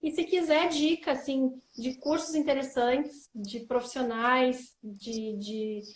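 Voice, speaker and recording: A woman's voice: high-pitched, fairly even intonation, slow pace, echoey room. A woman from Brazil, a coach chatting casually on a live stream and filling her talk with "né" and "assim". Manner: warm reflective, many fillers like "né" and "assim". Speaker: guest coach.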